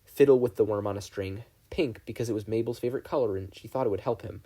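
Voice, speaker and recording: A man reading a scripted story as narrator, with a slightly husky voice. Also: fairly low pitch, quick pace, dark tone. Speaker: fan fiction podfic reader.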